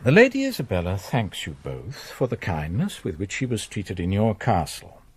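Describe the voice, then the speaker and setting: An actor playing a sanctimonious, slyly calculating priest in a radio drama, measured and pompous, with confiding asides. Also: male voice, lowish voice, wildly swooping pitch, a little mellow, slightly rough, dry recording, full character voice. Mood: formal, pious.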